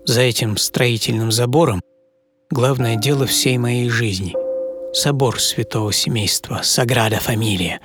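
An actor playing an eccentric, dreamy architect in his seventies in a theatrical radio monologue full of pauses. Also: medium pitch, lightly acted. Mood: hushed, reverent. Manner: theatrical, pausing, swinging from hushed to emphatic. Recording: studio voice over prominent music.

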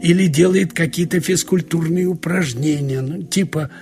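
A man narrating a short story in a conversational, wry way, with expressive asides, like a radio audiobook reader. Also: fairly high pitch, normal speed, over loud music. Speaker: narrator reading literary prose.

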